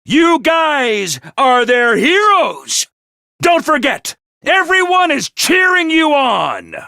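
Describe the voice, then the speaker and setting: A man's voice: extremely high pitch, big pitch swings, slow pace, creaky, pushed and bright, dry recording, studio quality, exaggerated voice. A man voicing a manic, mischievous male mascot commander in a game, talking in a hyper, theatrical and gleeful way. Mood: rousing cheer. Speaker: game character voice lines. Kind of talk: game voice acting.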